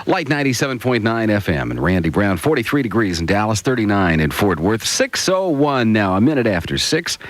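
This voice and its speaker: A male radio DJ with a swooping, animated delivery. His voice is captured very dry and close, in the style of music radio announcing. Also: on the low side, fairly quick, hardly any pauses, no echo. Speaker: radio disc jockey.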